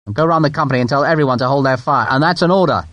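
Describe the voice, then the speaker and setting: A man's voice: medium pitch, racing, a bit bright, no echo, natural voice. A man voicing a curt, blunt British rifle captain in his thirties.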